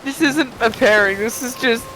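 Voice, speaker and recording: A man's voice: very high-pitched, normal speed, faint music behind. A man reading a story aloud dramatically on a live voice chat, breaking off to swear and chat casually with friends. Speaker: fan fiction reader on a live stream. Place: live-streamed group voice chat. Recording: noisy voice chat, steady background noise.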